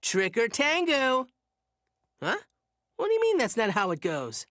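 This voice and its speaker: A woman's voice: a little high, wildly swooping pitch, unhurried, fairly bright tone, no room sound, clean studio sound, heavily acted. A woman voicing a confident, adventurous young female game character. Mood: breezy, playful.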